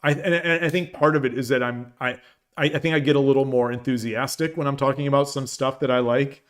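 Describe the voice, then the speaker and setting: A man hosts a long live stream, rambling casually with frequent restarts and reading chat messages aloud. His voice sits in a middle register and has turned dry and hoarse from hours of talking. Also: medium pitch, steady tone, quick pace. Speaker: shogi streamer.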